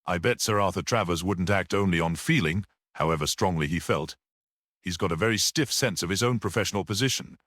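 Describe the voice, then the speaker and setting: A man voicing a confident, worldly man in a mystery audiobook, with an animated conversational manner. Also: low voice, fairly quick, no room sound, clean studio sound. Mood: assured. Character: confident, worldly man discussing a murder trial. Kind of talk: audiobook character reading.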